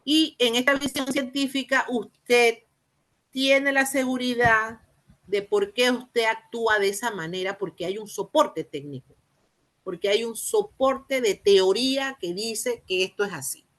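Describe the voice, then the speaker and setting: A woman with a Panamanian Spanish accent giving an explanatory lecture, haltingly, repeating and rephrasing phrases and posing rhetorical questions. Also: fairly high pitch, a bit bright. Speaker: university lecturer in teacher training.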